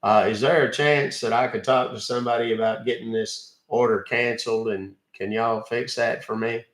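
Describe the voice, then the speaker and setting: A man's voice: mid-range voice, fairly even intonation, moderate pace, reverberant, close to an everyday voice, poor audio. A man putting on a folksy rural caller. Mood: complaining. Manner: drawling, hesitant with fillers, uses ain't and y'all.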